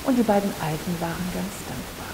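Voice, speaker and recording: A woman's voice: fairly low pitch, a little fast, gravelly, noisy background. A woman storyteller narrating a fairy tale aloud in a dramatic oral style. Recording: echoey hall with long reverb.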